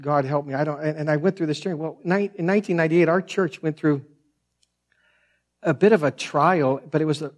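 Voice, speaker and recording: Soft-voiced preacher, fairly high. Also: male voice. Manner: earnest, conversational storytelling with frequent restarts. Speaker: pastor. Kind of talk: sermon.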